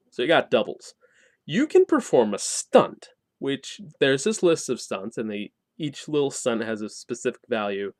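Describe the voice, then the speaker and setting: A man's voice: mid-range voice, no echo, studio quality. A man giving a casual, conversational game review, opinionated and given to wry asides. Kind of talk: casual video review to camera.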